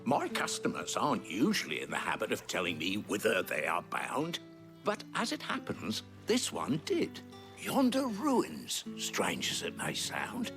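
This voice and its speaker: A man's voice: lowish voice, wildly swooping pitch, unhurried, creaky, exaggerated voice. A man playing a pompous, fussy travelling merchant in a video game, with flowery, self-important diction. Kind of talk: video game cutscene acting. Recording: music under the voice.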